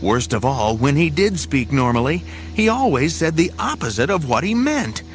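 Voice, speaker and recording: A man's voice: a little high, sing-song, moderate pace. A man narrating an audio drama, reading a scripted story in a dramatic style. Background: music.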